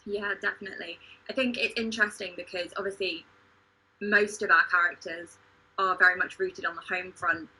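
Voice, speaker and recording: A woman hosting a livestream discussion in an earnest, measured way. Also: fairly low pitch, steady tone, sharp tone, husky, poor audio. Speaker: podcast host, theatre company member.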